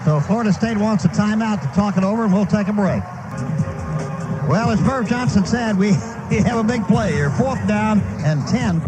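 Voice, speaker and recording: A man's voice: high-pitched, animated, moderate pace, over loud music. A man doing live radio play-by-play of a football game, describing the action in short clipped phrases. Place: stadium broadcast booth. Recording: noisy live stadium radio broadcast.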